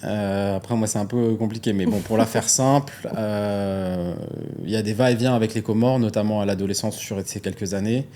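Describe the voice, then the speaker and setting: Relaxed man in his forties. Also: fairly low pitch, fairly quick, a little hiss or hum. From France. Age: 40s. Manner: relaxed, joking, says "tu vois" a lot. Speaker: guest artist. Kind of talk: casual interview chat.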